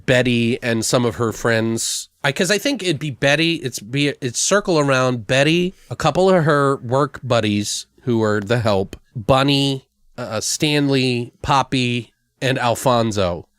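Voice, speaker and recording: A man co-hosting a podcast, casually brainstorming a comedic horror story in a crude, animated, sweary way. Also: mid-range voice, unhurried, smooth, no echo, clean studio sound. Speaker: podcast co-host.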